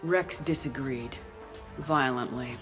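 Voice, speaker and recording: A woman playing a grave, determined human military commander in a video game, with a measured, authoritative delivery. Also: a bass voice. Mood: grave recollection. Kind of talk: video game dialogue and scripted narration. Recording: music under the voice.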